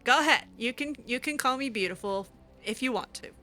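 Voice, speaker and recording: A woman's voice: a little high, fairly quick, pushed and bright, soft background music. A woman improvising in character over a video game, conversational and hesitant, often trailing off. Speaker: roleplay game streamer.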